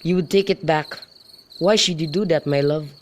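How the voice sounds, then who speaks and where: A man's voice: fairly high pitch, normal speed, natural voice. Man as reckless, lovestruck young nobleman, amateur radio Shakespeare, slightly noisy homemade recording with night insects. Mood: yearning. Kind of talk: student radio drama acting of modernized Shakespeare. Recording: noisy home recording, crickets behind.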